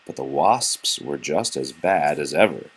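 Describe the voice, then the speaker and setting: A man reads a picture book to young children in a very low voice with a husky grain. His delivery is animated and moves freely up and down as he tells the story, with a patient, casual way of speaking to his listeners. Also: measured pace.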